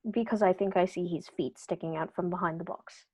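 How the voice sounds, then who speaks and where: A woman playing a sharp, confident male detective in a radio play, crisp and brisk as he reasons through the clues. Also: on the low side, fairly even intonation, lightly acted. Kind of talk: amateur radio play acting.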